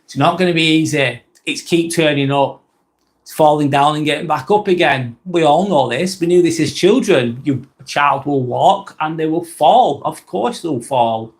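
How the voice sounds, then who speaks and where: A man's voice: on the high side, normal speed. A man with a Lancashire accent from northwest England, hosting a casual live vlog in a rambling, blunt way, swearing casually and often restarting his sentences. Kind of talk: casual live-stream talk.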